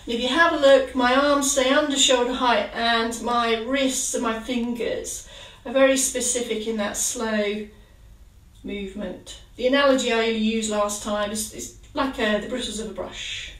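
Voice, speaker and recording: A woman leading a gentle exercise class, giving calm, encouraging step-by-step cues. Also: on the high side, a little slow, far from the mic. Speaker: exercise instructor. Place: kitchen. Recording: roomy sound from away from the mic.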